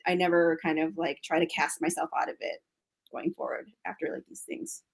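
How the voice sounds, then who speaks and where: An American actress talking casually as an interview guest, chatty and full of restarts and fillers. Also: female voice, low-pitched, fairly even intonation, fairly quick, gravelly. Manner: chatty, frequent fillers and restarts, laughs often.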